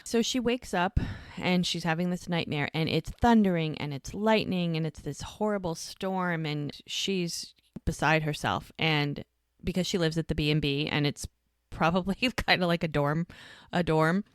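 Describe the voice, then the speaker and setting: A woman's voice: low voice, moderate pace, smooth, no echo, clean studio sound. A woman co-hosting a podcast, casually recapping a TV episode and acting out the characters' lines. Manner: animated retelling, acts out characters' lines. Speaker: podcast co-host. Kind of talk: casual rewatch recap chat.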